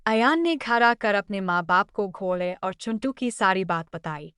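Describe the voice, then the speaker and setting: Woman, scripted story narration, crisp voice, mid pitch, dry close sound. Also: animated, a little slow, a bit bright, no room sound.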